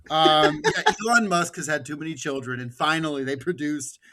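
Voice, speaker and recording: Male co-host, bright voice, casual joking chat, wry delivery with loose profanity. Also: on the high side, moderate pace. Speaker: podcast co-host.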